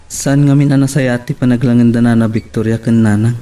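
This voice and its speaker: A man's voice: medium pitch, steady tone, a little fast, dark tone, clean tone, natural voice. A man with a Filipino accent playing a polite, hesitant subordinate employee in an audio drama. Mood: uneasy. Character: respectful, questioning male subordinate employee.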